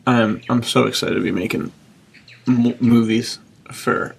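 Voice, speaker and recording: Husky, heavily creaky man chatting casually. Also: medium pitch, fairly even intonation, a little slow. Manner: enthusiastic, slangy, says dude. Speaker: podcast co-host and publisher. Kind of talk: casual podcast chat.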